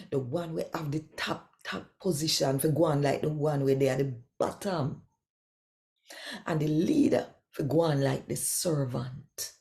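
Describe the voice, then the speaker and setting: A woman with a Jamaican accent, speaking as host and reading scripture in a deliberate, expressive storytelling way. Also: sits low. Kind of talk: devotional reading in Jamaican Patois.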